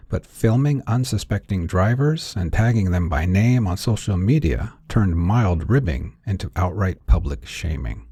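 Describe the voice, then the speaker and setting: A man narrating scripted noir fiction in a measured, wry, theatrical storyteller's manner. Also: low voice, dark tone, husky, no room sound, clean studio sound. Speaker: narrator and author.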